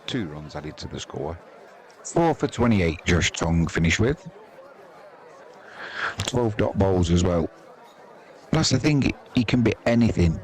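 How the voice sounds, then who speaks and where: A man's voice: deep voice, sing-song, measured pace, long pauses, darkish tone. A man with an English accent hosting a live cricket stream, chatting informally and self-deprecatingly to viewers in colloquial language, with crowd noise behind him. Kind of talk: informal sports commentary and chat to viewers.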